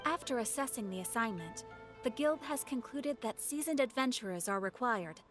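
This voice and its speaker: A poised, courteous guild receptionist played by a woman, speaking in a formal and measured way. Her voice sits a little high, with faint game music behind. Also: lively intonation, close to an everyday voice. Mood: calm. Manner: formal, composed, polite. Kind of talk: game voice acting.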